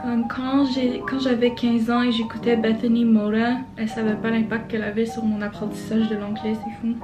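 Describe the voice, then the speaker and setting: Young woman, Québécois accent, bit high voice, chatty with lots of hesitations, music playing behind. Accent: Québécois French. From Quebec, Canada. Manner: chatty, frequent hesitations, mixes in English words. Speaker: beauty vlogger. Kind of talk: casual makeup tutorial chat. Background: background music.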